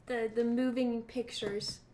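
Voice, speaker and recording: A woman's voice: a little high, level tone, a little slow, dark tone, poor audio. A woman with a Southern US accent chatting casually in a blunt, teasing way. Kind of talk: casual chat.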